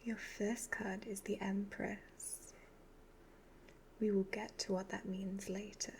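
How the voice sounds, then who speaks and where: A breathy, half-whispered evil witch acted out by a woman in an ASMR roleplay. She is a sly, hypnotic fortune teller who gives instructions very slowly in an even, level tone. Some steady noise sits behind the voice. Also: mid-range voice, rough recording. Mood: soothing, commanding. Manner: slow, deliberate, instructing in measured phrases. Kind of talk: soft-spoken ASMR roleplay.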